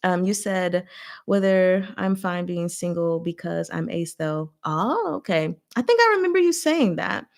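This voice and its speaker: A woman's voice: fairly low pitch, lively intonation, a little slow, creaky. A woman hosting a casual book recap and discussion, speaking in a chatty, conversational way with frank asides to her listeners. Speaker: host of a book recap livestream.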